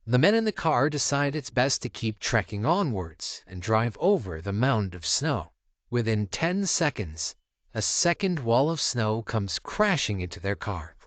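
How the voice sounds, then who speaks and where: A man reading scripted documentary-style narration for a countdown video, with measured, dramatic phrasing. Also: medium pitch, big pitch swings, no echo.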